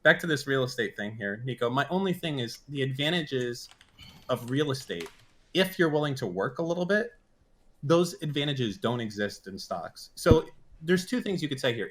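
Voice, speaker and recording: A man's voice: medium pitch, animated, fairly quick. A man in his mid thirties on a stream call, talking casually in a brash, crude way. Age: 30s. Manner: brash and crude, insulting banter. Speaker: guest caller.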